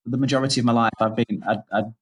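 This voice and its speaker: A man's voice: medium pitch, steady tone, fast. A man from Leeds, chatting hesitantly and stumbling over his words. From Leeds, England.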